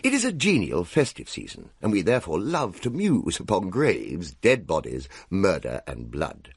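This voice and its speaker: An actor playing a pompous, aggrieved young Victorian English gentleman telling his tale theatrically in a radio drama. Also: low voice, huge pitch swings, normal speed, breathy edge, dry recording, heavily acted. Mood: pompous, wry. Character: pompous, aggrieved young Edwardian gentleman narrator, big eater.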